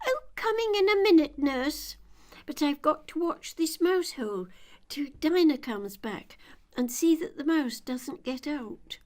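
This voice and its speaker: A woman's voice: high voice, expressive, measured pace, a little mellow, pitched above the performer's own voice. A woman voicing a curious, fretful little girl in a storybook, musing aloud and exclaiming in polite, old-fashioned phrases. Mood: eager.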